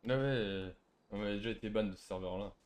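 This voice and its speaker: A man's voice: lowish voice, quick pace, darkish tone. A man giving casual, slangy banter and commentary while playing an online game, provocative and mocking in manner. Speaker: gaming video creator playing online roleplay.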